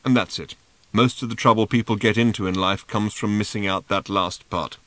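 A man voicing a cryptic, mournful old male seer who delivers slow, drawn-out, sing-song pronouncements. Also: fairly low pitch, steady tone, a bit bright, dry recording, lightly acted.

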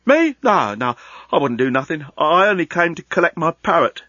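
A man acts out a shifty male workman in a comic storybook. He is hesitant and colloquial, stumbling over words with jumpy pitch, on dry, slightly dull audio. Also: sing-song, moderate pace, sharp tone, dry recording, heavily acted. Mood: nervous, evasive. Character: shifty male ship worker, nervous and evasive.

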